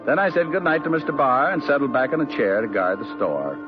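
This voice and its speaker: A man's voice: mid-range voice, sing-song, fairly quick, close to an everyday voice. A man playing a sardonic private detective who narrates and trades quips, in a 1950s radio drama. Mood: narrating. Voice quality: sardonic, clipped delivery. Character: wisecracking male private eye, dry and sardonic. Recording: dull lo-fi old radio broadcast with music.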